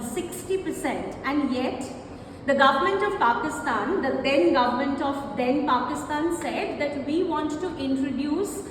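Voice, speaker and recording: High-voiced woman teaching in an echoey room. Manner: explanatory, repeats and rephrases, frequent fillers. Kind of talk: classroom lecture. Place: classroom. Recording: echoey classroom, faint noise.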